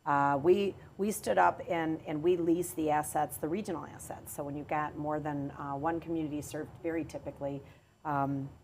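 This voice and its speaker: A middle-aged woman, an executive telling her career story in a podcast interview, measured and often pausing to restart. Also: low-pitched, steady tone, a little mellow, slight background noise. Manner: measured, with frequent hesitations and restarts. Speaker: water authority chief executive.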